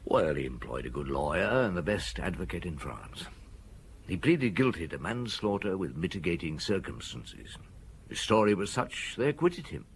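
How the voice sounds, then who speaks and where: An actor playing a seasoned, shrewd French police detective in a radio drama, calm, measured and blunt. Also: male voice, deep voice, slight background noise. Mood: reflective.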